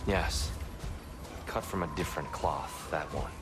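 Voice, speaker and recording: Peace-minded traveler, low voice, formal, gentle, game character, background music. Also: male voice. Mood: somber, informative. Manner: polite, measured, formal. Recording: music under the voice.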